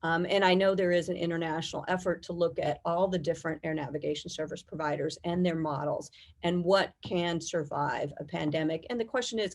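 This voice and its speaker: A middle-aged woman with an American accent, giving measured, detailed explanations in a conversational interview. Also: low voice. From United States. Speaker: guest, air traffic controllers' union executive.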